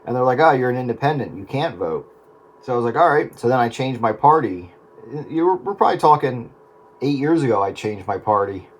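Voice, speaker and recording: A man talks casually with a folksy, slightly hesitant delivery, like an amateur operator in a relaxed on-air conversation. His voice sits in a middle register and comes over a two-way radio. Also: medium pitch, brisk. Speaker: amateur radio operator in a round-table chat.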